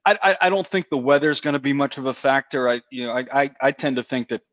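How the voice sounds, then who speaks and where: A man's voice: mid-range voice, fairly even intonation, fast talker, a bit bright, dry recording, muffled recording. A man, a stadium announcer, talking football as a guest, teasing and hesitant with many restarts. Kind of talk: casual sports talk.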